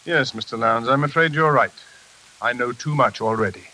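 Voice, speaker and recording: A man playing a composed, authoritative psychiatrist in a formal, measured 1940s radio style. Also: mid-range voice, close to an everyday voice, rough recording. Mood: grave. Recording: faintly hissy old radio recording.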